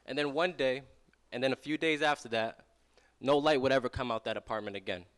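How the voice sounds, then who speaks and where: A young man giving a live startup pitch, projecting energetically as he tells a family story. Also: brisk, a bit bright. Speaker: student startup founder pitching. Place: venture lab event room.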